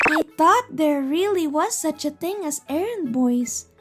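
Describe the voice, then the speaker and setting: A woman's voice: sits high, animated, measured pace. A woman voicing a polite young female college student, narrating and chatting in a scripted manga story. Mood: chatty. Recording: music behind the voice.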